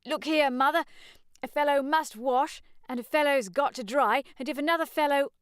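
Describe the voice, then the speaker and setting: A woman's voice: high voice, normal speed, no echo, studio quality, pitched above the performer's own voice, natural voice. A woman voicing a boisterous, cheeky young man who talks rowdily. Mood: protesting. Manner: rowdy, protesting.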